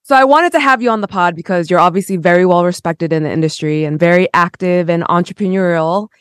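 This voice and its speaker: A woman's voice: fairly low pitch, fast talker, smooth, no echo, clean studio sound. A woman hosting a podcast, moving between a scripted introduction and casual interview chat. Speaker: podcast host.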